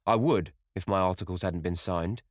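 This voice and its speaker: A man's voice: deep voice, moderate pace, no echo, studio quality, natural voice. A man voicing a sullen, hot-tempered sixteen-year-old lad, blunt and protective, as an audiobook character. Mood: sullen, resentful.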